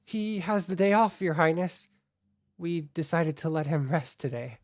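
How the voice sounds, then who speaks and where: An actress playing a deadpan, unflappable royal attendant in a comic audio drama. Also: female voice, fairly low pitch, unhurried, no room sound, clean studio sound. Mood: deferential.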